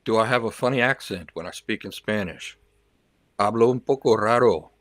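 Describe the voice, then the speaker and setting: A man's voice: fairly low pitch, moderate pace, fairly bright tone, no echo. A man hosting a livestream, chatting casually with viewers and reacting to their comments, sometimes switching into learner's Spanish. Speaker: livestream host.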